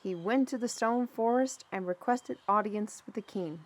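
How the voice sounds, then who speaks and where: A woman's voice: mid-range voice, normal speed, lightly acted. A woman playing a chatty, amused storyteller in an audio roleplay, telling royal lore conversationally with wry, dramatic asides. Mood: storytelling.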